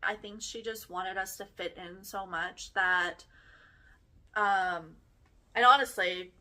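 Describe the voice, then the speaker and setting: Chatty Texan-accented woman, bright voice. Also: mid-range voice, takes it slowly. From Germany. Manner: chatty, frank, peppered with "like" and "right?". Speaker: podcast host. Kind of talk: casual personal storytelling.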